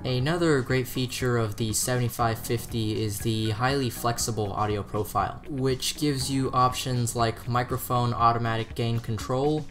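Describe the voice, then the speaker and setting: A man presenting a scripted product review as narration. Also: mid-range voice. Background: background music.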